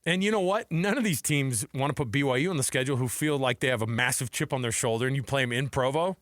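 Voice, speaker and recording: A man's voice: mid-range voice, quick pace, a bit bright, dry recording, clean studio sound. An American man co-hosting a sports radio show in a casual, breezy, colloquial way.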